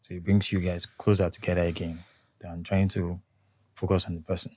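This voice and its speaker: A young Nigerian man with a Nigerian accent and a low, warm voice. He speaks calmly and in a measured way as an interview guest. The recording is dry. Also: no room sound. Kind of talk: conversational interview.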